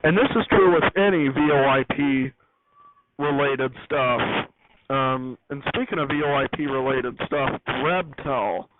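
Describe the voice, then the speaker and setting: A man's voice: mid-range voice, measured pace. A man giving an unscripted technical walkthrough as a hobbyist host, rambling and correcting himself as he explains. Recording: noisy, tinny phone-like audio.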